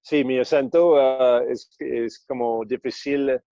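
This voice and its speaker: Mellow-voiced man with an English-speaker's accent. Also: mid-range voice, fairly even intonation, no echo, dull audio. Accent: Australian-American English speaker of Spanish. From Australia. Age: 30s. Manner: halting, many fillers and restarts. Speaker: guest, entrepreneur. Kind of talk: casual interview answers.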